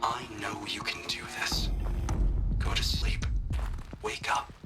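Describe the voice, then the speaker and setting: Game scientist, acted by a man, half-whispered, gentle, earnest, medium pitch, slightly distant, loud music behind. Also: slow pace, lightly acted. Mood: tender, reassuring. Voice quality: breathy, hushed. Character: gentle, reassuring male scientist comforting his AI.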